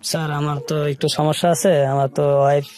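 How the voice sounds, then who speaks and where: Higher-voiced Bangladeshi man, halting storyteller. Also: flat delivery, darkish tone. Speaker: radio show guest telling life story. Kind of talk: personal storytelling in an interview.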